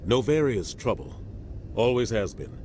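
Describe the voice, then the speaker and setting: An actor playing a grave, seasoned middle-aged military captain in a video game, deliberate and advising. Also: male voice, fairly low pitch, very animated, darkish tone, a little hiss or hum. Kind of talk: video game cutscene acting. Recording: game music behind the voice.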